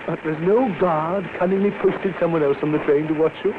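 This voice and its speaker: A man's voice: on the high side, steady tone, fairly quick, phone-quality sound, lightly acted. A man acting as a sly, probing travel companion with a teasing, insinuating way in a 1950s radio drama. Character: sly, probing male friend on a train. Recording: noisy, thin old radio recording.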